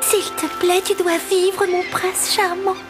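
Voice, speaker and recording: Young cartoon mermaid, very high voice, tender, earnest, lovestruck, music behind. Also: female voice, little pitch movement, a little slow. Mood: pleading, tender. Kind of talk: French-dubbed cartoon voice acting.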